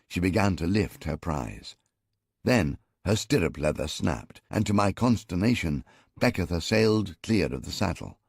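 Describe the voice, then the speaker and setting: A man narrating an audiobook in the first person, with a measured, wry storytelling manner. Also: sits low, vocal fry, slightly rough, no room sound, clean studio sound.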